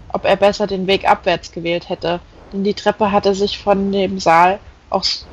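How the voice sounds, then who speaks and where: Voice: mid-range voice, low-quality recording. A reader narrating a fantasy novel aloud as a scripted audiobook.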